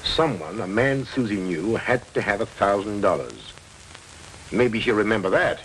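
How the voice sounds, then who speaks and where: A man's voice: on the low side, animated, normal speed, slight background noise, lightly acted, low-quality recording. A man playing a dogged, wry 1940s detective. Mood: calm. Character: dogged middle-aged male investigator, wry and persistent. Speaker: detective narrator. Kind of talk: 1940s film noir narration.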